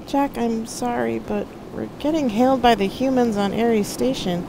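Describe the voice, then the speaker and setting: A woman voicing a gentle, sorrowful, loyal male ship intelligence in a sci-fi audiobook, with noise behind the voice. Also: a little high, moderate pace, creaky, pitched above the performer's own voice. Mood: apologetic. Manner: gentle, apologetic. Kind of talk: dramatic sci-fi audiobook dialogue.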